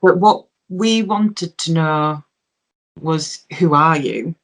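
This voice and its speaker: Low-voiced woman interviewer. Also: takes it slowly. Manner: rambling, hesitant questions. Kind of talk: interview questions.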